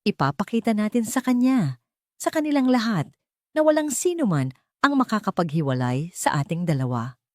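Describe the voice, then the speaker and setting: A woman voicing a hot-tempered, defiant young man in love in an audio story, forceful and emphatic. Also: mid-range voice, very animated, normal speed, no echo, clean studio sound, voice pushed up, close to an everyday voice. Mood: determined.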